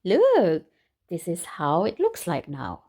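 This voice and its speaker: A woman reading a children's story aloud in an expressive way, exclaiming and putting questions to her listeners. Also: fairly low pitch, slow pace. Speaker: storytime reader for a children's book club. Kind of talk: animated children's read-aloud with commentary to listeners.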